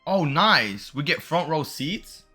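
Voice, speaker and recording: A man's voice: on the high side, big pitch swings, slow, sharp tone, breathy edge, soft background music. A man giving casual, slangy, reactive gameplay commentary as a streamer. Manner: slangy, reactive, bro-heavy talk. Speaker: gaming streamer reacting to a playthrough. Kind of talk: casual gameplay commentary, reading dialogue aloud.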